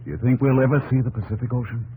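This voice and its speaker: A man playing a steady, cautious army captain on a frontier expedition, earnest and commanding, in 1950s radio drama style. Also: mid-range voice, very fast, a little hiss or hum, lightly acted, low-quality recording. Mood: weary, watchful. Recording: old 1950s radio broadcast, music behind.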